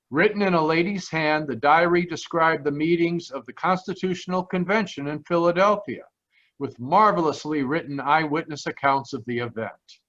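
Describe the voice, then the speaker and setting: A man telling a detective case in the first person, in measured 1940s radio drama narration, recorded on a home mic over a video call. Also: fairly high pitch, a bit bright, lo-fi sound. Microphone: home microphone on a video call.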